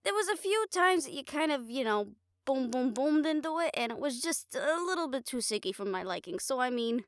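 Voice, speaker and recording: Woman acting a teasing girlfriend, high-pitched, close and dry. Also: expressive, no room sound. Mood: teasing, affectionate. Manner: casual, halting, playful swearing, affectionate teasing. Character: young woman, caring, teasing, blunt girlfriend of a werewolf. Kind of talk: improvised audio roleplay, intimate casual chat to listener.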